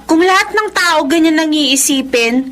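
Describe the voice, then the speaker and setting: A woman's voice: high-pitched, lively intonation, moderate pace, fairly bright tone. An actress playing a headstrong, ambitious teenage country girl in a radio drama. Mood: insistent, ambitious. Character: headstrong ambitious nineteen-year-old provincial young woman. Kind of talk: Tagalog radio drama acting.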